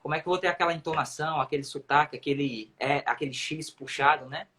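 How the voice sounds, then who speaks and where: A man's voice: on the high side, quick pace, sharp tone. A man with a Brazilian accent, a native Portuguese speaker, explaining things emphatically in a casual livestream chat. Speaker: self-taught English coach on a livestream.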